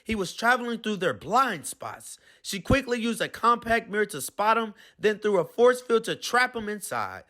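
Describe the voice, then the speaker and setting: A man narrates a children's story in a high, bright voice. The reading is expressive, with animated rises and falls. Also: normal speed, hardly any pauses. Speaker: storybook reader.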